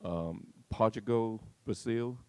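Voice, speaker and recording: A man from Hong Kong, with the local accent, talks informally as a panelist. His voice sits fairly low and is recorded dry, with little room sound. He explains in a conversational, step-by-step way. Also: takes it slowly, smooth, no echo. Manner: conversational, punctuates with "right?". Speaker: science park representative, panelist. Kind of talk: informal panel discussion answer.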